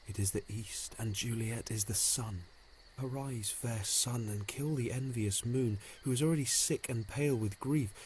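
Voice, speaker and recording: A man's voice: lowish voice, a little mellow, a little hiss or hum, natural voice, low-quality recording. An actor playing a yearning, lovesick young nobleman in an amateur Shakespeare audio drama, recorded at home on a phone.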